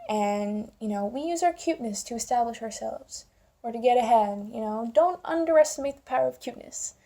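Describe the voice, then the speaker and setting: A young woman with a fairly high voice that often drops into heavy creak. Her tone is mellow and dark-edged. She talks informally and wryly, as in an opinion vlog. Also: lively intonation, fairly quick. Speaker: video commentator. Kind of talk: casual opinion vlog to camera.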